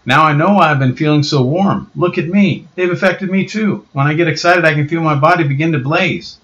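A man doing excited Southern youth Johnny. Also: on the high side, brisk, voice pushed up, close to an everyday voice. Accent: American Southern. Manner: eager, excited. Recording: dull roomy home recording.

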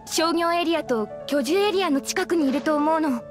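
A woman playing a sweet young townswoman and doting cat owner in an anime game, with gentle feminine wording and some drama. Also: high-pitched, fairly quick, over music, natural voice. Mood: friendly.

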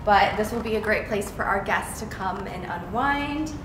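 A woman's voice: mid-range voice, animated, moderate pace, music in the background. American woman touring an echoey empty house. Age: young adult. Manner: enthusiastic. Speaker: vlogger, homeowner giving a tour. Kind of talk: casual house tour presentation. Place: bare framed house interior, indoors. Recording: echoey empty room, distant mic, clear noise.

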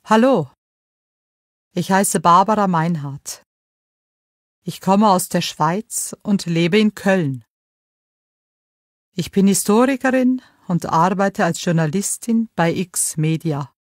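An actress playing an adult woman introducing herself, speaking slowly and clearly for a textbook recording. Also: lowish voice, no room sound, studio quality. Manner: clear, slow and careful.

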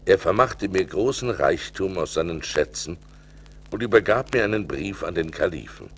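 An actor playing a seafarer who recounts perilous adventures theatrically and deliberately, in an audio play transferred from vinyl. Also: male voice, low-pitched, lively intonation, slight background noise. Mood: measured, recounting. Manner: theatrical, deliberate, swelling with suspense. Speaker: narrator. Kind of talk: dramatic storytelling in an audio play.

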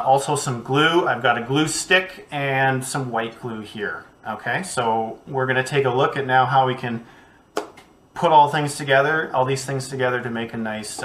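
A man in his thirties, an art educator, guiding children through a craft project at home in a relaxed, chatty way with many ums and uhs. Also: mid-range voice, a little hiss or hum. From Canada. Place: small home studio.

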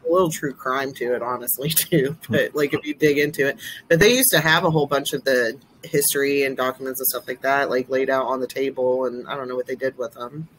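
A woman in her forties with a deep voice, co-hosting a podcast over a video call and rambling through her stories with many fillers. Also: fairly even intonation, brisk, slight background noise, low-quality recording. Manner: rambling, lots of fillers and restarts. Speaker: podcast co-host. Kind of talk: casual chat.

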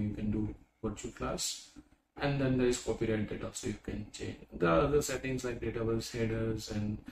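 Man in his thirties, mid-pitched, chatty product demo with fillers, roomy webcam audio with noise. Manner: conversational, frequent "you know" fillers. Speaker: software product presenter. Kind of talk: screen-recorded software walkthrough.

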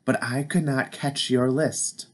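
A man voicing Frog, a calm and kind male frog, speaking gently in a storybook read-aloud. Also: medium pitch, slow, close to an everyday voice. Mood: gentle.

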